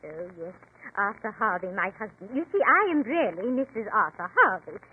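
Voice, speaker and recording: A woman's voice: mid-range voice, very animated, moderate pace, a little hiss or hum, close to an everyday voice. An actress playing a fragile, wistful elderly lady lost in the past, with a fluttery, genteel manner, in a 1940s radio drama. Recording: tinny old radio broadcast, music behind.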